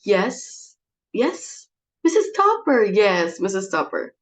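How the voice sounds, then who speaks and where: A woman's voice: mid-range voice, very animated, slow, slight background noise. A woman acting a domineering, melodramatic middle-aged society hostess in a dramatic play reading, theatrical and exasperated. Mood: pleading politeness.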